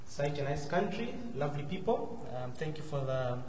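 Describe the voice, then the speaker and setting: A man's voice: on the high side, very animated, normal speed, a little mellow. Male guest speaker, southern African accent, courteous and hesitant, echoey live room with faint noise. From Zambia and Malawi. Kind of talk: personal testimony to a congregation. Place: large church hall, live before a congregation.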